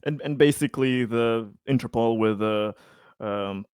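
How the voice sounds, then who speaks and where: A man at a tabletop roleplaying game, explaining the situation in a casual, deliberate way. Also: medium pitch, darkish tone, smooth, no echo, clean studio sound.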